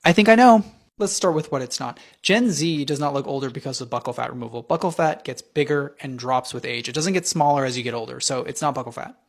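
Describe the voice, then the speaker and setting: A man's voice: medium pitch, vocal fry. A man giving methodical explanatory commentary in a social media video.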